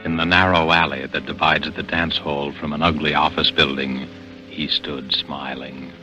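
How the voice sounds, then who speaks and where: An ominous radio storyteller with an extremely deep voice. He narrates a vintage thriller gravely and deliberately, over prominent music on a dull old recording. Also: little pitch movement. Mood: hushed, ominous. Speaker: announcer and narrator. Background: dramatic music.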